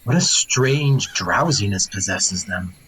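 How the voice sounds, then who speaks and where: A man's voice: on the low side, lively intonation, slow, a bit bright, a little hiss or hum, poor audio. An actor playing a sardonic, mocking nobleman in a Shakespearean audio drama. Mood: smooth, watchful.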